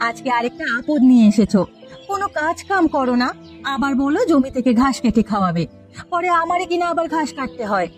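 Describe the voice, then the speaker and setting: A woman's voice: high voice, lively intonation, brisk, soft background music. A woman voicing a nagging, bossy, money-minded village wife in a cartoon, with a scolding manner. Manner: scolding, complaining. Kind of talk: Bengali cartoon story dialogue.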